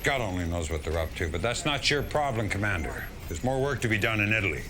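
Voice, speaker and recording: Deep-voiced, emphatic military general. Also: male voice, very animated, quick pace, pushed and bright, soft background music. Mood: urgent, commanding. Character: gruff, principled military general briefing his field commander. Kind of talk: dramatic video game cutscene acting.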